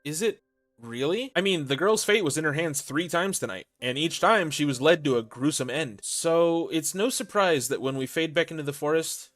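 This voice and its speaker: Higher-pitched man narrating wryly. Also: animated, moderate pace, fairly bright tone. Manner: wry, theatrical storyteller with conversational asides. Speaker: video host and reviewer. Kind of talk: scripted narration and commentary on a visual novel.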